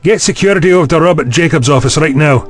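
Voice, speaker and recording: An actor playing an indignant, officious vice-chancellor in an audio drama, brusque and scolding with a husky voice. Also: male voice, on the high side, fast talker, soft background music, natural voice.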